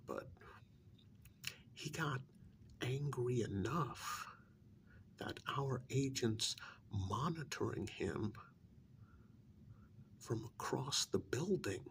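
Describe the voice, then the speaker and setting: A man playing a stern, secretive government handler in an ASMR role-play, speaking softly in a pointed, reprimanding, deliberate way. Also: medium pitch, slight background noise. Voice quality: breathy near-whisper with gravelly creak. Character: stern, secretive male government handler scolding an undercover agent.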